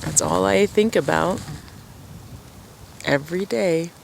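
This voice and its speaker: A woman with a low voice, chatting casually to camera outdoors at her forest camp through a camera-mounted mic, rambling and self-deprecating. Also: slow pace, vocal fry, slight background noise, low-quality recording. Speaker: vlogger living at a wilderness camp.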